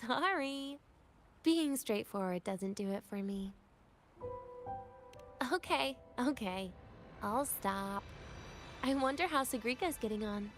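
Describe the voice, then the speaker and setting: A woman's voice: a little high, expressive, takes it slowly, long pauses, faint music behind. A woman voicing a cool, teasing young female student in a video game, with a dry, composed delivery. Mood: wry, playful.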